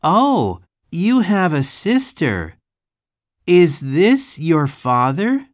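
A woman voicing a friendly young schoolboy from Japan, in a slow, carefully enunciated listening-test dialogue. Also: lowish voice, sing-song, dry recording, studio quality, full character voice.

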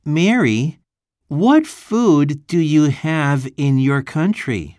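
A woman voicing a friendly young schoolboy from Japan, in a slow, carefully enunciated listening-test dialogue. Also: deep voice, expressive, darkish tone, no room sound, studio quality, full character voice.

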